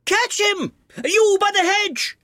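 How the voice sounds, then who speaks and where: A narrator voicing bossy, excitable storybook animals such as a male rabbit, giving orders in rustic exclamations. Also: very high voice, slow pace, dry recording, studio quality, pitched above the performer's own voice, exaggerated voice. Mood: urgent, commanding. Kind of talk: storybook character voice.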